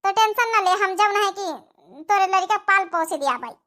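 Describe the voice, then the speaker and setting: A woman's voice: very high voice, normal speed, a bit bright. A woman voicing a plain-spoken, obliging but feisty village daughter-in-law in a comic cartoon, with an Awadhi accent. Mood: reassuring.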